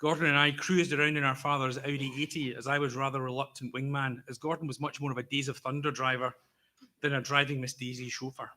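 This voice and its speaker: A Scottish man in his fifties giving a prepared eulogy for his brother, speaking slowly and carefully with a wry, gently humorous storytelling manner. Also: medium pitch, little pitch movement. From Scotland. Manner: deliberately slow, careful delivery. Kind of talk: prepared memorial speech.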